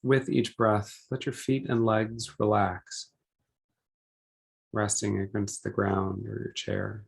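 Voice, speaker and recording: Low, creaky-voiced man guiding meditation. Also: little pitch movement. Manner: gentle, deliberate, with pauses between short phrases. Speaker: meditation guide. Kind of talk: guided meditation instruction.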